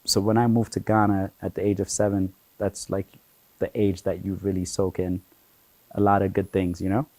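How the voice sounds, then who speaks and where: A man in his forties from Ethiopia, a guest telling stories about his childhood in a relaxed, chatty way, with lots of "you know" and easy laughter. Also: deep voice, normal speed, no echo, rough recording. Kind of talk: relaxed conversational storytelling.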